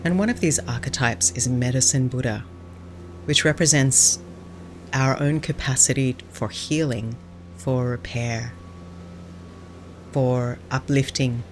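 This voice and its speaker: Calm woman doing a guided meditation, gentle n unhurried like a nun teaching, pretty noisy ambient background. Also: very deep voice.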